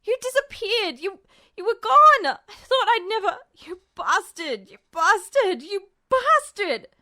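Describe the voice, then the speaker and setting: A woman voicing a furious, hot-headed boy who shouts and sputters insults in an audiobook-style story reading. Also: very high-pitched, very animated, a little slow, voice pushed up, heavily acted. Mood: furious, hurt.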